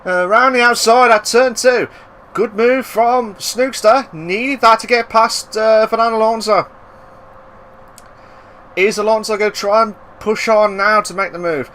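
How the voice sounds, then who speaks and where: A man giving live racing commentary, excitable and bursting into loud exclamations at the action. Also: high voice, unhurried, slight background noise. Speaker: race commentator.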